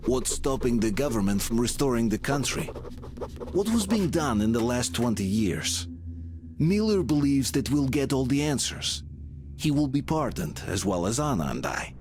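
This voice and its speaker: Reflective soldier narrator, voiced by a man, calm and measured over clear background noise. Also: mid-range voice, lightly acted. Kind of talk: scripted game narration.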